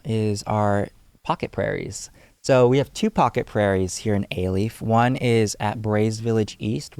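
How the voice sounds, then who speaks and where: Earnest young man, low voice. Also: darkish tone, clear, resonant tone, no echo. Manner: earnest, thoughtful, many ums. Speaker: guest, community organizer. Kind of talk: podcast interview answers.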